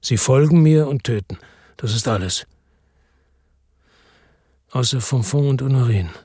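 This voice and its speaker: A man's voice: medium pitch, lively intonation, unhurried, mellow, slightly rough, no room sound, clean studio sound. An actor playing a middle-aged, weary and bitter ex-policeman, narrating tersely in a radio drama. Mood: tense. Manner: terse, sombre, matter-of-fact. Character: weary, bitter male ex-policeman narrating his own crime story.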